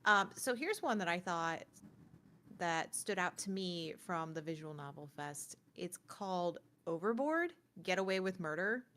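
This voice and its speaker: A woman's voice: fairly low pitch, expressive, unhurried, a bit bright, a little hiss or hum. A woman co-hosting a podcast, talking casually and conversationally as she reviews games. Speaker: podcast co-host. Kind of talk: casual gaming chat and review.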